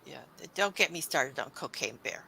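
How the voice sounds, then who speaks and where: A woman's voice: rumbling low, big pitch swings, moderate pace, creaky, sharp tone, a little hiss or hum. A woman hosting a horror panel discussion, asking questions and laughing easily. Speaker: host, moderator.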